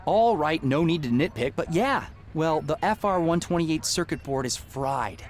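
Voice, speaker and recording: An actor playing an easygoing, curious young tinkerer, the wry and casual hero of an adventure video game. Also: male voice, fairly high pitch, animated, normal speed, natural voice. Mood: casual, sheepish. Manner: wry, casual, musing aloud. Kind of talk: video game character dialogue.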